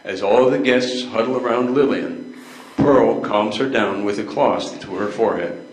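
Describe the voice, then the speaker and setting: Older male narrator, fairly low voice. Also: moderate pace. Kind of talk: scripted stage narration. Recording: echoey room.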